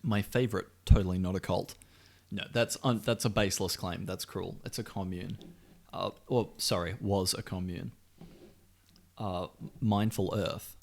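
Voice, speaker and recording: A man's voice: low-pitched, fairly even intonation, unhurried, gravelly. A man co-hosting a podcast in casual chat, with a sweary, wry and joking manner. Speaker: podcast co-host.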